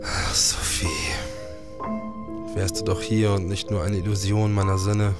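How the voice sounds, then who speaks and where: A man's voice: low-pitched, level tone, measured pace, over loud music. An actor playing a grieving, sleepless police detective in a radio drama, formal and literary in his telling. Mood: anguished. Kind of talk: radio drama acting and narration.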